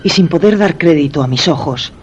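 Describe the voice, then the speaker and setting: Man playing a horror radio storyteller, highish voice, spain accent, loud scary music under it. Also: animated, fairly quick, lightly acted. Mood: ominous. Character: storyteller of a macabre horror tale. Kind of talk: suspense radio drama narration.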